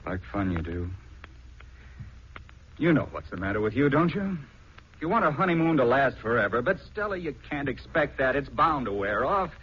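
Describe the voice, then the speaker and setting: A gruff, down-to-earth husband who scoffs at sentiment. He talks bluntly and in slang, at a middle pitch, in 1940s radio drama style. The old recording is dull and faintly noisy. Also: very animated, normal speed, fairly bright tone. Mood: scoffing.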